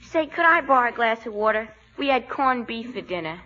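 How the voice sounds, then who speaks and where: On a muffled old radio recording, a brash schoolboy gossip. The talk is quick, slangy and excitable, pitched a little high, in 1940s sitcom style. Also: female voice. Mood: excited, gossipy.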